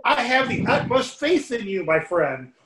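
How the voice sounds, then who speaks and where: A man's voice: very high-pitched, sing-song, unhurried, over a noisy crowd. A man chatting casually as a club member on an online call. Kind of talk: casual chat. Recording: echoey room over video call.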